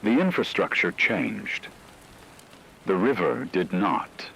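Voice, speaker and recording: A man reading a scripted emergency broadcast in a grave, measured way, breaking it into short phrases. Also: low-pitched, sing-song, vocal fry, bright, forceful tone, slight background noise, low-quality recording. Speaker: radio broadcast narrator. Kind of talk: scripted narration, emergency news-broadcast style.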